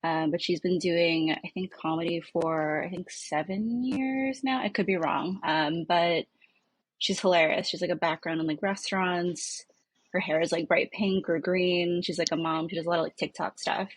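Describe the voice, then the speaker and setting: Woman, husky, lowish pitch, dry close sound, informal chatty podcast talk. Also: normal speed, no room sound, low-quality recording. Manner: informal, peppered with "like". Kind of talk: casual podcast chat.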